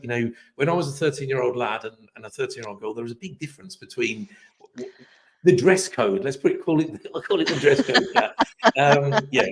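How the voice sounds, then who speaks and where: A man hosting a live webcast, chatty and upbeat, rambling with restarts, his voice a little hoarse. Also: medium pitch. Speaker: host.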